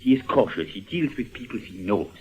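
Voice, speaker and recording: A man's voice: fairly low pitch, expressive, fairly quick, a little mellow, slightly rough. An actor playing a cagey, wheedling con man posing as a business go-between, drawing out his hesitant, insinuating lines in old radio drama style. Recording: dull lo-fi old radio recording.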